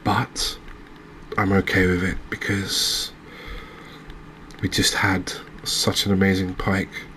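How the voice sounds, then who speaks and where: A man giving gentle, unhurried ASMR commentary over a cozy fishing game, with hesitant, understated phrasing. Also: low voice, completely flat delivery, slight background noise, poor audio. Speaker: host, video game streamer.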